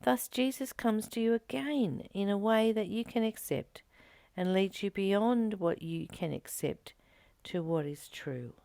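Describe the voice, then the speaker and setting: A woman reading a spiritual text slowly and meditatively, adding her own comments and dropping into soft whispers to stress a point. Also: mid-range voice, gravelly, dry recording.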